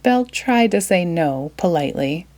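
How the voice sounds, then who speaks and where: A woman storyteller reading a children's storybook aloud in an expressive manner. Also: fairly low pitch, moderate pace.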